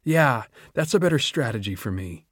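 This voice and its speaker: A man voicing a tough young female singer with a husky, whiskey-roughened voice and a wry, bold manner. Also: mid-range voice, animated, normal speed, a bit bright, no echo, studio quality.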